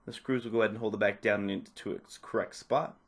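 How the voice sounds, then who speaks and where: A man narrating a step-by-step tutorial off the cuff, in a casual, instructional way with softened phrasing. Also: on the low side, fairly even intonation, quick pace. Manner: casual, instructional, hedging with "kinda" and "a little bit". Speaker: repair tutorial presenter.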